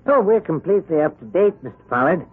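An actor playing a smug, mock-courteous, husky-voiced crooked credit boss in a 1970s radio drama. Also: male voice, fairly high pitch, animated, fast. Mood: smug, mock-polite. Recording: thin lo-fi vintage radio recording.

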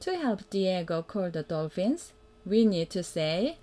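A woman reading a children's picture book aloud as a mother would, in a simple, deliberate way that invites the young listener to join in. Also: fairly low pitch, big pitch swings, warm tone. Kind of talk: read-aloud children's storytelling.

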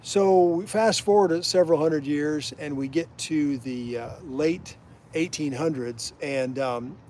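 Middle-aged guy, kinda high voice, folksy rambling stories on a fishing livestream by a canal. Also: a little slow, a little mellow. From Iowa. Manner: folksy, rambling storyteller who drifts onto side tracks. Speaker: the host's father, guest storyteller. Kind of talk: casual livestream chat, reading out viewer comments. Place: outdoors beside a canal.

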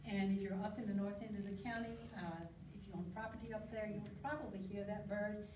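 An older woman giving an informal slide talk at a podium, chatty and digressive, with frequent ums and throat clearing. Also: medium pitch, steady tone, fast. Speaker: guest speaker on local birds. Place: lecture hall. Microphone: podium mic, recorded by a far camera. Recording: distant echoey hall recording, muffled, noisy.

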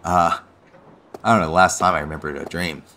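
A man's voice: low-pitched, fairly even intonation, a little fast, bright, forceful tone, slight background noise. A man playing an unsettled young man in an audio drama, speaking hesitantly and repeating words as he puzzles something out. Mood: hesitant, puzzled. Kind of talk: naturalistic audio drama acting.

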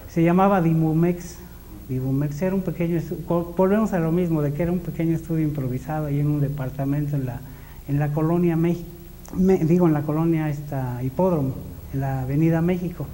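A man's voice: on the high side, fairly quick, mellow, slight background noise, rough recording. A middle-aged man with a Mexican accent, reminiscing in a documentary interview on a lapel mic indoors. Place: indoor studio room at a drawing desk.

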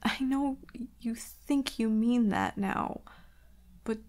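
A woman playing an anxious, vulnerable werewolf girlfriend in an intimate ASMR roleplay, soft and hesitant, stammering and often slipping into breathy whispers. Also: fairly high pitch, slow. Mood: sad, self-doubting.

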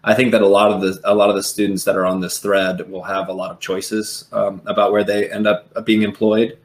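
A man's voice: fairly low pitch, flat delivery, brisk. A man on a panel video call, thinking aloud philosophically with many qualifications. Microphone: video call from a room. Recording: echoey room on video call.

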